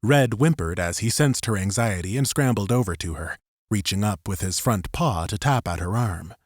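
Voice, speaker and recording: Casting: male audiobook narrator, lowish voice, scripted story narration, close dry sound. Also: animated, moderate pace, dry recording.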